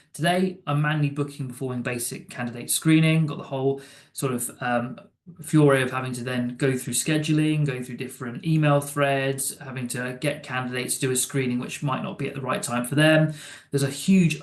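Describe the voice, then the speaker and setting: A man presents a product recap in an informal, conversational way. His voice is of middling pitch and sounds roomy, with clear echo around it. He sometimes trips over words as he goes. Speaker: software demo presenter.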